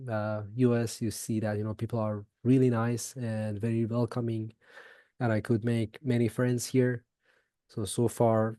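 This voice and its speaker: A man's voice: fairly low pitch, mellow, dry recording. A young man from Iran, a guest in a casual interview, speaking hesitantly with many fillers and restarts.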